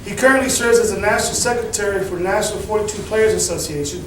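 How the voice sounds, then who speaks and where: A man with a loud, folksy way of talking, chairing a meeting and making announcements to a crowd in a community center hall. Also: sits high, fast talker, a little hiss or hum. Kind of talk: public address to a meeting. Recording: echoey hall from far off.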